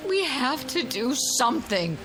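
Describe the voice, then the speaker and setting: A woman's voice: high-pitched, very animated, a little slow, noisy background. A woman playing a desperate, anxious mother in a radio drama, urgent and pleading. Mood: determined, desperate. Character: desperate, anxious young mother coaxing her lost daughter. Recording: music bed behind voice.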